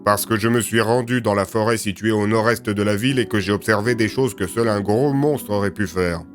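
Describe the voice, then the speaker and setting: A man voicing a gruff, suspicious police captain in an audio story, questioning bluntly. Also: on the low side, fairly quick, faint music behind, lightly acted.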